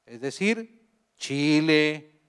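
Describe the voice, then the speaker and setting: A Colombian man lectures at a measured, unhurried pace, turning to the audience with questions. His voice is smooth and a touch high. It rings out with a long hall echo. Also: expressive, fairly bright tone. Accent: Colombian Spanish. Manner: slow, deliberate, addresses audience with questions. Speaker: guest speaker. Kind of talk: university lecture.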